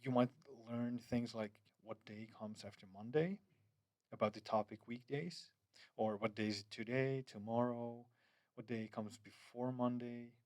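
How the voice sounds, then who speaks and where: Man, mid-pitched, hesitant app walkthrough, dry booth-like sound. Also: fairly even intonation, a little slow, a little mellow, dry recording. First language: Finnish. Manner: hesitant, many fillers and restarts, loose phrasing. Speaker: app developer. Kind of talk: screen-recorded app demo.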